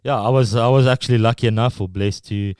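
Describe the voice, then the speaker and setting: A middle-aged South African man with an Afrikaans accent, a guest talking casually and haltingly in an interview, with many restarts. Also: mid-range voice, fairly even intonation, darkish tone, clear, resonant tone, dry recording, studio quality. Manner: halting, full of restarts and fillers.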